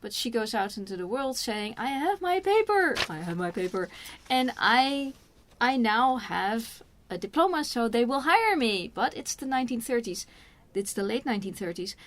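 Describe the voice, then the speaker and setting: A Dutch woman co-hosting a film review, retelling the story casually and dropping in quick interjections. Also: fairly high pitch, big pitch swings, a little hiss or hum. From Netherlands.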